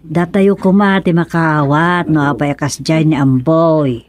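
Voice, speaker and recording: An actress playing a concerned older village auntie in a radio serial, sympathetic yet admonishing, with a Filipino accent. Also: female voice, low voice, steady tone, measured pace. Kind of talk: radio serial drama acting.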